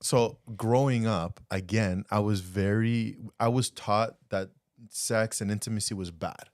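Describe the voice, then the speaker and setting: A man's voice: lowish voice, steady tone, measured pace, darkish tone, no room sound, clean studio sound. A man co-hosting a podcast, telling candid stories in a rambling, casual way with frequent restarts. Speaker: podcast co-host.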